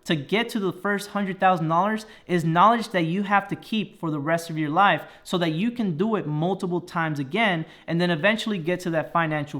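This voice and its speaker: High-pitched motivational man in his thirties. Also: a bit bright. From Dominican Republic. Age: 30s. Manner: earnest and motivational, ends phrases with "okay?". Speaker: personal finance YouTuber. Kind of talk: motivational talk to camera.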